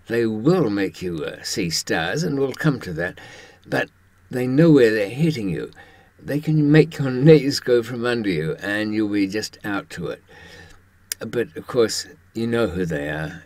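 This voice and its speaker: Man in his seventies, husky, dry close sound, wry, conversational, rhetorical questions. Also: lowish voice, animated, unhurried, a little mellow, dry recording. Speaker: presenter. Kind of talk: conversational monologue to camera. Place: indoors, in front of a green screen.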